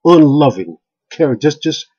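A man's voice: a little high, expressive, normal speed, darkish tone, slightly rough. A middle-aged man in a heated webcam rant from a small room, stammering and repeating himself as he goes. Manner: heated, repetitive stammering restarts. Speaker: video blogger. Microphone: webcam at close range. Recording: dull lo-fi webcam audio.

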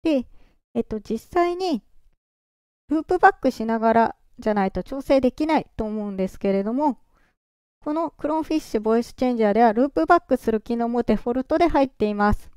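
A presenter walking through software setup step by step in a calm, explaining way, their voice run through a pitch-raising voice changer. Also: quick pace, smooth, dry recording, clean studio sound. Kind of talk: screen-recorded software walkthrough.

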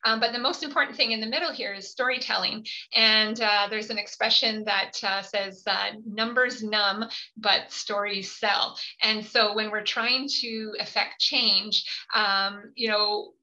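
A woman speaks over a slightly dull video call, her voice very bright and forward. She talks in an earnest, halting way, full of ums and you-knows, as she presents to a webinar audience. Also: mid-range voice, steady tone. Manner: hesitant, many fillers, earnest. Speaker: family physician presenting a webinar.

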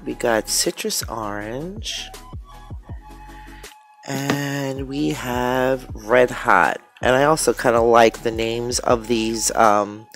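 Woman with a stuffy nose doing a laid-back craft unboxing, rambly and chatty, talks slow, music behind her. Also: extremely low pitch. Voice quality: nasal. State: congested with a cold. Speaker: craft vlogger. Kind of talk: casual hands-on unboxing chat. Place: indoors at a craft table.

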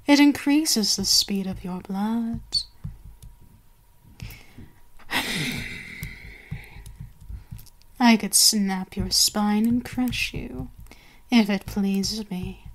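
A cruel, seductive vampire queen, acted by a woman for an ASMR roleplay. She speaks very slowly, savoring each command with haughty, gloating authority. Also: medium pitch. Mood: menacing, dominant.

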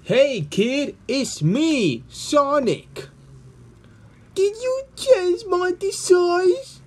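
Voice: very high-pitched, animated, extremely slow, voice pushed up, full character voice. A performer voicing a cheeky, eager young cartoon hedgehog boy in an amateur comic dub.

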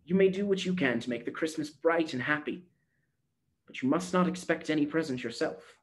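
A man reading a storybook aloud, voicing a tired, gentle old grandmother who speaks softly and sadly. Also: on the high side, close to an everyday voice. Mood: tender weary. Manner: soft, slow, sighing and sad.

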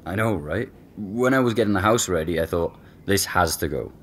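A deep, hesitant ex-flatmate. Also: male voice, normal speed. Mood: reflective. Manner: hesitant, reflective. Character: reflective young man, missing woman's ex-flatmate. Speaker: former flatmate. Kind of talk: conversational audio drama acting.

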